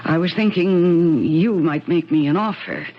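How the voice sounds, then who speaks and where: A woman playing a gentle boarding-house landlady with a husky voice, in a 1970s radio mystery style. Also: lowish voice, measured pace. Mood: polite, unsure. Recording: dull 1970s radio recording.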